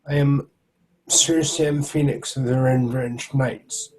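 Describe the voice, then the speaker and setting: A man voicing a huge, gentle male troll knight who speaks with formal, soft-spoken courtesy. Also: medium pitch, steady tone, takes it slowly, close to an everyday voice, rough recording. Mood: courtly.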